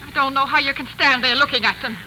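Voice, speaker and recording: A squeamish young-sounding lady, frets and pleads in a high, piercingly bright voice. It is 1940s radio drama with faint hiss. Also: fairly even intonation, fast talker, low-quality recording. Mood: uneasy, pleading. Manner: pleading, fretful. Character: squeamish, frightened woman visiting a wax museum. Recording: hissy 1940s radio broadcast.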